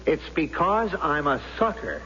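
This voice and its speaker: A man's voice: a little high, very animated, a little slow, pushed and bright, slight background noise, full character voice. An actor playing a doubtful, theatrical man who is a reluctant juror, in a brooding, questioning radio drama style. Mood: reluctant, bantering. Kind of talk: 1970s radio drama acting. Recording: dull old radio recording with music.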